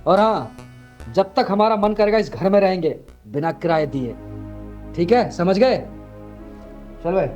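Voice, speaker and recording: Fairly high-voiced young man played by an actor. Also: expressive, unhurried, long pauses, a little mellow, faint music behind. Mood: threatening. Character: young man, tenant or son, melodramatic. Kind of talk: melodramatic short-film acting.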